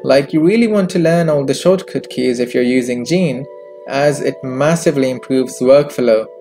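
Guy doing a scripted tutorial voiceover, mellow soft-edged tone, music underneath. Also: mid-range voice, normal speed. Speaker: tutorial presenter. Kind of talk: scripted software tutorial narration. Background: background music.

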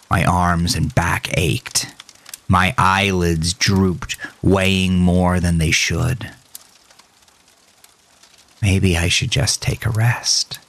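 A man reading scripted first-person horror narration in a dramatic, deliberate storytelling manner, as a podcast host. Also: low-pitched, gravelly, a bit bright, husky.

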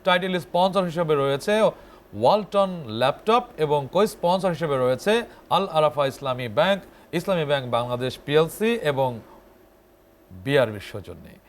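A man's voice: on the high side, slight background noise. A man with a Bangladeshi accent presenting a television song programme, addressing viewers in a formal announcer manner. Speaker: TV show host.

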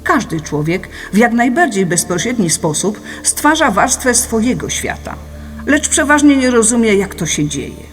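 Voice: medium pitch, sing-song, normal speed, close to an everyday voice. A narrator reading a scripted philosophical audiobook in an explanatory, didactic manner. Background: music.

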